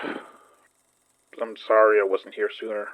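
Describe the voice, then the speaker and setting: An actor playing a troubled, worried man trying to keep his cool in an audio drama, with a gravelly voice. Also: fairly low pitch, little pitch movement, slow, muffled recording. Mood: weary, concerned.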